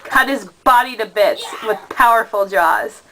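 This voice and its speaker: Slow, solemn woman storyteller. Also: fairly high pitch, noisy background. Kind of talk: dramatic narration of an epic poem for a puppet show.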